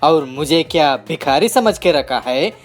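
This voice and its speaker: A man voicing an arrogant, bullying bird boss with a loud, scornful manner in a children's cartoon. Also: on the high side, animated, unhurried, soft background music. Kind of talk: Hindi children's cartoon dialogue.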